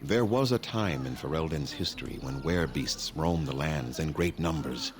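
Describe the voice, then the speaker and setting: An actor playing a weary, haughty elven clan leader in a fantasy video game, speaking formally and gravely in a measured way. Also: male voice, extremely low pitch, animated.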